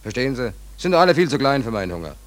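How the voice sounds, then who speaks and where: An actor playing a down-and-out American sailor in a 1940s German radio play, weary, wry and stubborn, with colloquial, sardonic, rambling talk. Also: male voice, medium pitch, sing-song, racing, close to an everyday voice.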